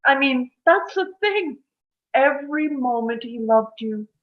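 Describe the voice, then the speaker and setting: A woman acting a wistful, lovelorn small-town woman in a table read, with an earnest, halting, emotional delivery. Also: high-pitched, expressive, phone-quality sound. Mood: tearful, wistful.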